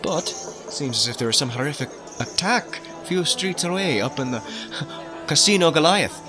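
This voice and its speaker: A man's voice: fairly high pitch, animated, moderate pace, over music, voice pushed up, natural voice. A man playing an affable, chatty fantasy priest who works his congregation with casual menace. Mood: chatty, sly. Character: smooth, folksy deacon priest working his congregation.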